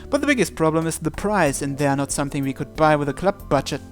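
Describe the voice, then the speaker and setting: Slightly high-voiced man reading aloud. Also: animated, quick pace. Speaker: let's player reading visual novel aloud. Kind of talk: narration read aloud, first person. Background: game music.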